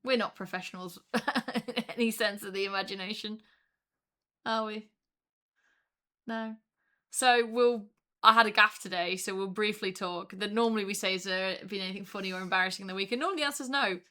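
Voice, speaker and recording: A woman co-hosting a casual podcast, chatty and quick to laugh, with lots of fillers. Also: medium pitch, pushed and bright. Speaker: podcast co-host. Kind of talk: casual chat.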